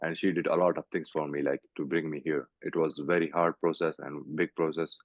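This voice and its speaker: A young Pakistani man, a fighter, answering interview questions over a video call in halting English full of "like" and "you know". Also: a bass voice, flat delivery, no echo. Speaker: guest fighter. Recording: tinny call audio, dry.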